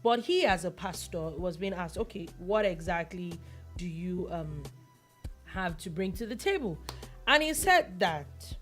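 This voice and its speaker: A woman's voice: on the low side, animated, measured pace, soft background music. A woman who hosts a radio show, talking through the news in a chatty way with many fillers and restarts. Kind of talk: news analysis chat.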